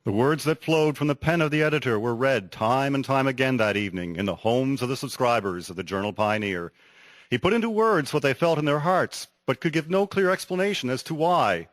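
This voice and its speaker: Guy narrating a radio drama from a script, average pitch, no room echo at all. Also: lively intonation, fairly quick.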